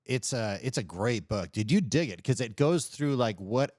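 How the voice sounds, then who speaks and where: A man chatting casually as a podcast co-host, explaining things in a rambling, halting way full of casual slang. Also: medium pitch, expressive, a little mellow, dry recording, clean studio sound. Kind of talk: casual chat.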